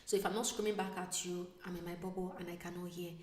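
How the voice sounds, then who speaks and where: A woman vlogger telling a personal story in a casual, animated way, sometimes dropping to a whisper. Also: fairly low pitch, quick pace, recorded from a distance. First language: not Italian. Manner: animated, drops to a whisper for asides. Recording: echoey room, voice away from mic.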